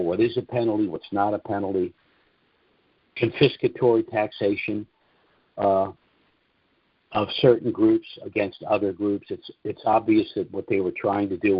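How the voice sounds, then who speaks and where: A man's voice: lowish voice, slightly rough, dry recording, muffled recording. A man hosting a conference call, lecturing in an opinionated way and posing rhetorical questions. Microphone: phone or call line.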